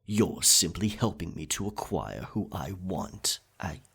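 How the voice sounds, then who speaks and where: A man playing a dominant, possessive pirate captain in an intimate ASMR roleplay, teasing and sly, with a raspy, breathy voice. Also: lively intonation, fairly bright tone, heavily acted. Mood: vengeful relish. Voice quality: raspy, breathy, gravelly low voice. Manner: slow, deliberate, teasing pirate speech.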